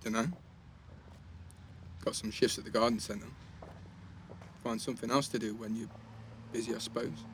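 Clear background noise of passing vehicles sits behind a man playing a teenage lad in a naturalistic audio play. He is chatty and slangy, always ready to tease, in a medium-pitched voice. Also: flat delivery, normal speed, pauses often, close to an everyday voice. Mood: guarded, wry. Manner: casual, slangy, teasing. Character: Charlie, chatty, teasing teenage boy in care. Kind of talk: naturalistic audio drama acting.

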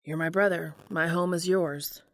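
Woman reading as a concerned, gentle man, lowish. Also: steady tone, normal speed, no room sound, close to an everyday voice. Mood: reassuring. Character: caring adult man, concerned steady brother.

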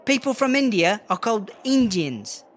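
A man's voice: sits high, very animated, normal speed, slight background noise. A man playing a brash, foul-mouthed English female prison rapper in a comedy audio drama, chatty and blustering in slang. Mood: indignant. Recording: music under the voice.

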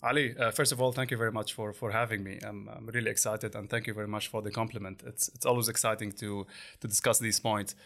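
A man in his forties, a podcast guest who speaks haltingly with many fillers and restarts. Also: lowish voice, flat delivery, fairly bright tone. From Lebanon. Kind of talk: conversational interview.

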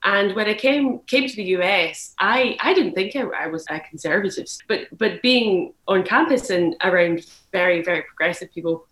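A woman's voice: medium pitch, expressive, normal speed, few pauses, fairly bright tone. A young woman from Glasgow with a Scottish accent, giving candid, thoughtful interview answers and often restarting her phrases. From Glasgow, Scotland. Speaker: journalist guest. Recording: echoey room.

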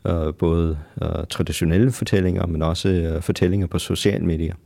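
A man who speaks English natively, a professor answering interview questions with many halting fillers. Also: low voice, steady tone, vocal fry, dark tone. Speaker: professor guest.

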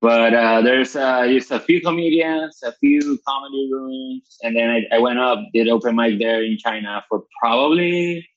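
A Venezuelan man in his thirties talks casually as a podcast guest, swearing freely and stopping to restart his sentences. His voice sits at an ordinary pitch for a man. The audio is muffled and lo-fi, as if coming over a weak internet call. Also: normal speed, few pauses. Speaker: guest comedian.

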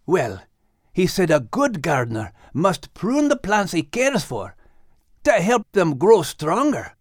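A man's voice: high-pitched, huge pitch swings, slow pace, no echo, studio quality, voice pushed up, full character voice. A man voicing a plain-spoken, kindly male dog with a Scottish accent and a folksy manner, as in an audiobook. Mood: gentle, consoling. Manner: folksy, blunt. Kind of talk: audiobook character acting.

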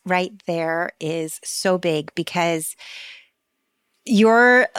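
A woman's voice: on the low side, extremely slow, fairly bright tone, clean tone, no room sound, clean studio sound. A woman co-hosting a podcast as a coach, enthusiastic and effusive as she introduces and praises her guest. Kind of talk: upbeat podcast interview.

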